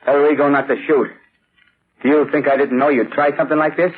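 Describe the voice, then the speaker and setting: A man's voice: on the high side, little pitch movement, a little fast, breathy edge. A man playing a frightened but defiant saboteur in an old radio thriller, blunt and agitated. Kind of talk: 1930s-40s radio thriller acting. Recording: tinny vintage radio recording.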